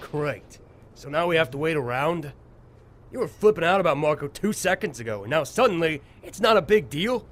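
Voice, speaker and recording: Man acting high-voiced, snarky young hothead. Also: animated, moderate pace, a bit bright. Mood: irritated. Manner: snarky, emphatic. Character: hot-headed, sarcastic young male zombie-apocalypse survivor. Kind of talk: amateur audio drama acting. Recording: faint background noise.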